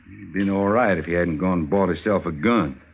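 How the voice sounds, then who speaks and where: An actor playing a callous, swaggering frontier bully in a radio western, with an American accent and folksy, sneering talk. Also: male voice, deep voice, brisk, gravelly, husky, full character voice. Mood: sullen, bitter. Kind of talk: old-time radio western acting. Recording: dull old radio recording.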